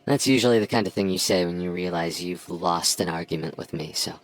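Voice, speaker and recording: A man's voice: sits low, a little fast, close to an everyday voice. A young man acting a sarcastic, teasing childhood friend who bickers in an audio roleplay, sounding exasperated. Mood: smug, teasing. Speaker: ASMR roleplay voice actor. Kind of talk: ASMR roleplay acting, one side of a dialogue.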